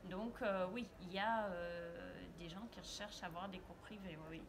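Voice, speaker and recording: A woman's voice: fairly low pitch, normal speed. Earnest French woman, hesitant, noisy background. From France. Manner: hesitant, many filler sounds, earnest. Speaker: interviewee, private French tutor. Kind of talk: casual interview answers.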